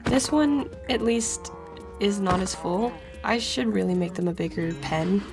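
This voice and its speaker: A woman hosting a relaxed let's play, her voice stuffy and congested from a cold. She talks in an unhurried, chatty way, laughing softly between thoughts, with faint music underneath. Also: mid-range voice, vocal fry. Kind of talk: casual gameplay commentary.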